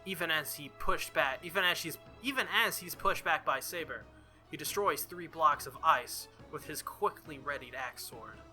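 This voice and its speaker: A man's voice: on the high side, moderate pace, pushed and bright, soft background music. A man doing a visual novel playthrough, reading the story aloud dramatically and dropping in casual asides. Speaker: let's player.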